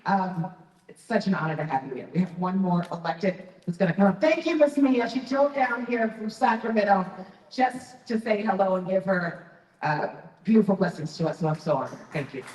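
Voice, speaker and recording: A woman's voice: medium pitch, moderate pace, darkish tone. A woman introducing speakers at an event, effusive and grateful. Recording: echoey hall with crowd.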